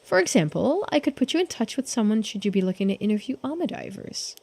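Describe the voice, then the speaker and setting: A woman's voice: a little high, big pitch swings, gravelly, a little mellow, slightly rough, heavily acted. Woman voicing a courteous, formal local man. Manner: polite, formal, measured. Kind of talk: video game character read-aloud.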